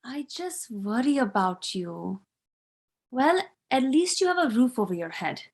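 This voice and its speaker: A woman's voice: fairly high pitch, big pitch swings, unhurried, darkish tone, exaggerated voice. A woman reading the part of a worried, nagging mother in her fifties at an online table read, sounding fretful.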